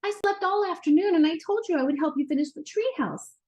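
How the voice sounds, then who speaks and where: An eager little boy from a storybook, played by a woman reader, pitched high and emphatic. He sounds childlike and rambunctious. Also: a little fast, a little mellow. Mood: wailing, upset.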